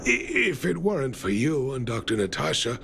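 An actor playing a weary, gentle miner and father in a video game, speaking haltingly and earnestly. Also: medium pitch, wildly swooping pitch, vocal fry, background noise. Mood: rueful.

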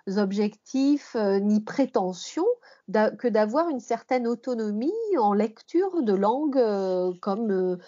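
A woman's voice: medium pitch, mellow. A woman explaining as an expert guest in a podcast interview, rephrasing and repeating points for emphasis. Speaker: guest expert, language teacher and researcher. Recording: muffled, band-limited podcast audio.

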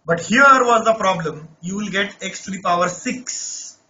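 A man runs a live online math class in a high, sharply bright voice. He coaxes students along, checks in with them again and again, and sounds a little off-voice. The audio is dull and lo-fi, with faint noise behind him. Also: moderate pace. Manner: coaxing, repeats checks like "right?". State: voice not normal, says he lost his voice. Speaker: math teacher.

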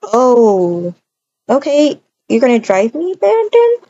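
A woman improvising roleplay dialogue for a video game in a hesitant, halting way with many hums. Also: on the high side, wildly swooping pitch, warm tone, no room sound. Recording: dull muffled audio, faint noise.

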